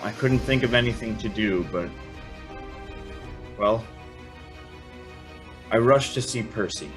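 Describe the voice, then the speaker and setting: A low-voiced, anxious young hero. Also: male voice, takes it slowly, soft background music. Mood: tense narration. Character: bewildered, anxious young man, earnest hero-narrator. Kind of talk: old-time radio drama acting and first-person narration.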